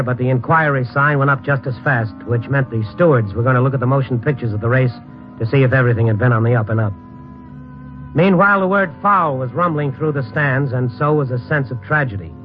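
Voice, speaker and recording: Calm american guy actor playing a wry radio drama narrator, measured, muffled old recording w music behind. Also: mid-range voice, lightly acted. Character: calm, wry man narrating and consoling friends.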